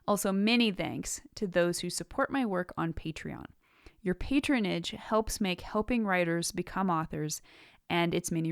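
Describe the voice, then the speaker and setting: A woman hosting an instructional podcast, reading a prepared script in an articulate, measured way with emphasis on key words. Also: lowish voice, no echo, clean studio sound. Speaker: podcast host and writing teacher.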